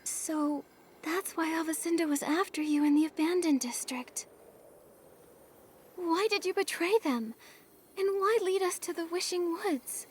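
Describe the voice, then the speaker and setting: An actress playing an earnest, inquisitive young heroine in a video game, speaking in a questioning way. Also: female voice, very high-pitched, measured pace, slight background noise. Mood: puzzled, concerned. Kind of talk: video game cutscene acting. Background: music.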